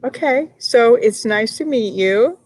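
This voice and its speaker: A woman from Brooklyn, a teacher studying for an MBA, chatting thoughtfully over a laptop video call from a library, with frequent fillers and pauses. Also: fairly high pitch, expressive, a little mellow. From Brooklyn, New York. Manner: thoughtful, hesitant, many fillers. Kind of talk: casual video-call chat. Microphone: laptop mic on a video call.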